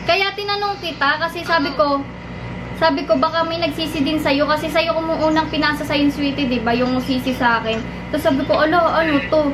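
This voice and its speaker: A young woman chatting casually in a rambling, halting way that repeats itself. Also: sits high, steady tone, background noise. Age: young adult. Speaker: vlogger making a prank call. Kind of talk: casual chat with friends on a call.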